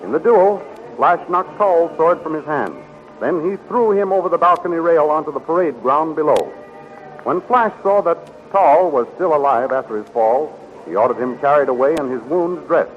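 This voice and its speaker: A man's voice: on the high side, expressive, moderate pace. A man narrating a 1930s radio serial as announcer, declamatory and dramatic. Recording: dull 1930s radio recording, faint music.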